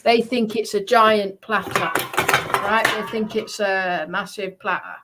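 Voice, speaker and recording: A woman's voice: medium pitch, measured pace, heavy background noise. A woman chatting and ranting casually on a livestream, blunt and sweary, repeating her points with emphasis. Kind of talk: casual livestream chat and rant.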